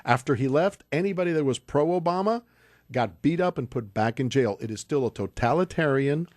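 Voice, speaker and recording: A man's voice: mid-range voice, expressive, a little fast, a little mellow, dry recording. A man from Cuba, a doctor co-hosting a casual radio chat, explaining things emphatically into a studio mic. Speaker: doctor and co-host.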